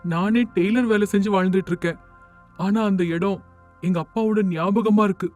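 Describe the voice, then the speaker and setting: Casting: man to play earnest, thrifty village tailor for animated story; high-set, declamatory voice over light music. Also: normal speed, close to an everyday voice. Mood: pleading. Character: earnest village tailor, devoted son turned strict miser. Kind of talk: animated moral story dialogue. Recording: music under the voice.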